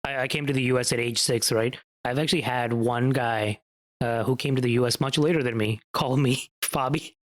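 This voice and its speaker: Man, interview host, relaxed, chuckling, casual chat, mid pitch. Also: fairly quick. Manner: relaxed, chuckles, frequent mm-hmm backchannels.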